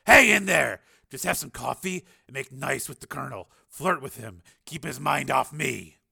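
A man's voice: mid-range voice, lively intonation, normal speed, gravelly, bright, forceful tone, slightly rough, voice pushed up, heavily acted. A man voicing a street-talking, easygoing civilian cameraman in an audiobook, with a casual, protesting manner. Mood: casual, urging.

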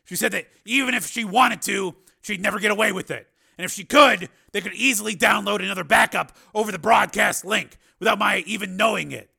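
Man acting a loud, strained, gravelly action hero. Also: high voice, a little fast, hardly any pauses, pitched above the performer's own voice, heavily acted. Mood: grim, urgent.

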